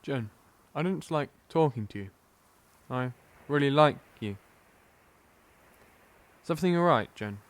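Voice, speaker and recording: A man playing an earnest, awkward young man in love in an audio drama, halting and apologetic. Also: mid-range voice, expressive, lightly acted. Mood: nervous, tender.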